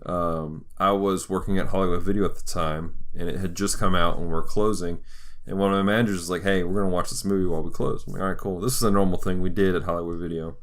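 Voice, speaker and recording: A man's voice: sits low, fairly even intonation, quick pace. A man hosting a podcast in a casual, conversational style. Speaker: podcast host. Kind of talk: casual chat.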